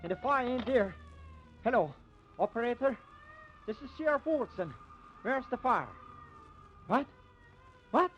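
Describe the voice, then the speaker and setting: An actor playing an excitable, grumbling small-town sheriff in a folksy manner, in 1950s radio drama style. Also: male voice, extremely high pitch, measured pace, long pauses, exaggerated voice. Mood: flustered, grumbling. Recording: dull, noisy old radio recording.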